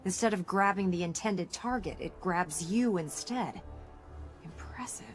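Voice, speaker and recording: A woman's voice: on the low side, lively intonation, a little slow. A woman playing a blunt, wry, confident military engineer in a video game cutscene. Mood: wry, impressed. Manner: blunt, sardonic, businesslike. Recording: game audio under background music.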